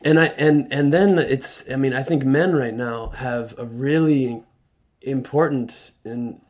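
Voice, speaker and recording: Radio guest, male, earnest reflective talker who stops and restarts, mid-pitched voice. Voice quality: loud voice. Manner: earnest, halting with many restarts. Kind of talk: reflective conversational talk. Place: radio studio.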